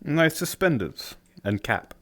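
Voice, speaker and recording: A man playing a suave businessman in a suit, speaking in a smooth, formal and faintly sly way. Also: a little high, big pitch swings, slow pace, a bit bright, heavily acted. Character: suave suited businessman with groomed hair. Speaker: streamer roleplaying a character. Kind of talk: improvised social-VR roleplay.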